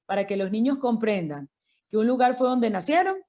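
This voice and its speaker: A Venezuelan woman being interviewed, telling her story in a passionate, animated way. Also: fairly high pitch, a little fast. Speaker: guest, founder of a migrant children's group. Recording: dull lo-fi sound.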